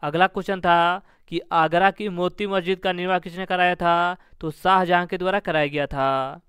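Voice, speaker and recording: A man's voice: on the high side, fairly bright tone, clean tone, no room sound, studio quality. A man teaching an exam-prep lesson, methodically going through questions and answers with repeated set phrases.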